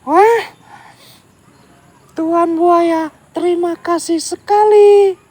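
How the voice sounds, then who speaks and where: A woman's voice: very high voice, steady tone, drawn-out words, darkish tone, exaggerated voice. A woman voicing a brave, kind little young grasshopper in a sweet, coaxing children's-story way. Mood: thankful.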